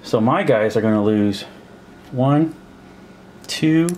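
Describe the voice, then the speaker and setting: A man at a table talks himself through a war board game, counting out pieces and stopping to puzzle over the rules. His voice sits at an ordinary middle pitch, with a faint hiss of background noise in the recording. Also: takes it slowly, a little mellow. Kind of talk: thinking aloud while playing a war board game. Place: indoors at a table.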